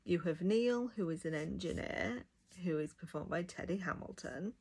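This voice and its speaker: A woman's voice: fairly low pitch, moderate pace, creaky, a little mellow, husky. A woman giving a casual, enthusiastic review, talking directly to viewers. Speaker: audiobook reviewer.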